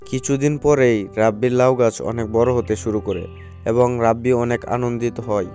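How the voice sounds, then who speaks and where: Male narrator for a cartoon tale, average pitch, scripted storytelling over a light music bed. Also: steady tone, moderate pace, warm tone. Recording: faint music behind the voice.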